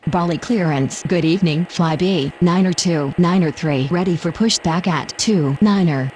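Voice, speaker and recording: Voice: mid-range voice, normal speed, a little mellow, slight background noise. A speaker making procedural aviation radio calls in set phraseology. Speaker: pilot and air traffic controller radio voice.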